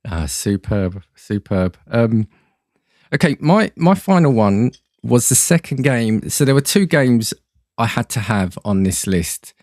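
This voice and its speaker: A man's voice: mid-range voice, very animated, measured pace, a little mellow, no room sound, studio quality. A man guesting on a podcast, chatting in an easygoing, appreciative way. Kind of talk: casual chat.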